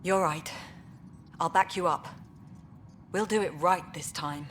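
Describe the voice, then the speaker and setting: Low-voiced, stern station director. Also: female voice, unhurried, a bit bright, a little hiss or hum. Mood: regretful, resolute. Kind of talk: video game voice acting.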